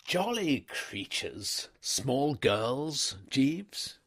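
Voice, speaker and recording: A man voicing a bright, excitable upper-class young English gentleman who stammers and chatters in breezy slang. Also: mid-range voice, very slow, slightly rough, pitched above the performer's own voice, heavily acted.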